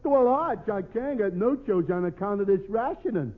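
Lovable New dope, literal-minded, widely lilting voice, tinny 1940s radio comedy recording. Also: male voice, high-pitched, expressive. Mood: sheepish. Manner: dopey, slow, literal-minded. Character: dim-witted, good-natured, slow male tavern regular. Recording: tinny, faintly noisy old radio recording.

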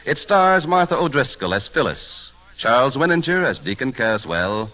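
A man's voice: mid-range voice, very animated, normal speed, bright, forceful tone, low-quality recording. A man announcing and narrating in a formal, theatrical 1940s radio style. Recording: old 1940s radio broadcast. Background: music.

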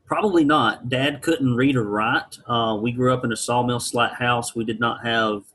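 A man's voice: medium pitch, steady tone, normal speed, fairly bright tone, a little hiss or hum. A man from rural Alabama, telling his story as an interview guest with wry, folksy, blunt humor. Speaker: guest, business founder.

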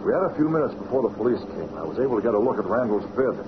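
A cool, shrewd American boss with a secret life, straight out of an old radio serial, played by a man. His medium voice moves expressively with knowing authority, heard through clear vintage hiss. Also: mid-range voice, animated, racing, muffled recording, natural voice. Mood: relieved, scheming. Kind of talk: 1940s radio acting.

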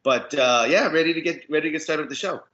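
A man's voice: on the high side, fast, pushed and bright. A man co-hosting a podcast, joking and teasing in casual chat. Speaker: podcast co-host. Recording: dull lo-fi sound.

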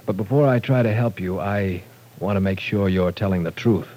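An actor playing a calm, shrewd professor who works as a federal agent in a 1940s radio drama, speaking in a measured, firm way. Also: male voice, deep voice, soft background music, natural voice. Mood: steady.

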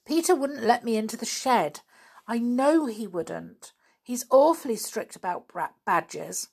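A woman voicing an eager, excitable young schoolgirl in a children's storybook reading. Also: on the high side, measured pace, gravelly, breathy edge, pitched above the performer's own voice, full character voice. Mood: flustered. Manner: excitable, insistent. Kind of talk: children's storybook read aloud.

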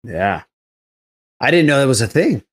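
A man hosts a relaxed group podcast with a medium-pitched, animated voice. He talks informally and rambles in a jokey, conversational way. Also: moderate pace, husky. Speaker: podcast host. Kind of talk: casual pop culture chat.

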